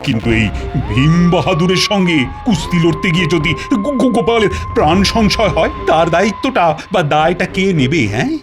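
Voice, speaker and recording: Cartoon Indian king, excitable, theatrical, very lively pitch, music behind. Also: brisk. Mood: alarmed, suspicious. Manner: exclamatory, questioning, theatrical. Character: excitable, flustered Indian king fretting over his courtier. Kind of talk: Bengali cartoon dialogue.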